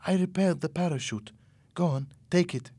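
A man voicing a gentle, hopeful young woman in an audiobook story, speaking softly and earnestly. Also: a little high, moderate pace, husky, no echo, studio quality, pitched above the performer's own voice. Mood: concerned, tender. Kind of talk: audiobook character acting.

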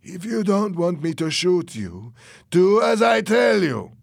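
A man voices a menacing henchman in a storybook thriller. He barks orders and mocking threats at a raised pitch. The sound is close and very dry. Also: unhurried, creaky, no room sound. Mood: cruel, commanding. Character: cruel, menacing henchman with a rifle, obedient to boss.

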